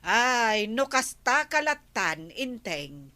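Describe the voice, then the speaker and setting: A woman's voice: on the high side, big pitch swings, slow pace, pushed and bright, no echo, full character voice. A woman playing an exasperated, scolding village neighbour with an Ilocano accent in a Filipino radio comedy drama. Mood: nagging.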